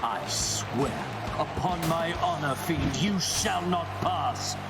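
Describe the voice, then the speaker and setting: A proud, bombastic champion for a video game. His raised, gravelly voice declaims slowly and pompously, with echo and loud music. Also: a little high, lively intonation, exaggerated voice. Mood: defiant. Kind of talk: dramatic video game acting. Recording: echoing, loud music behind.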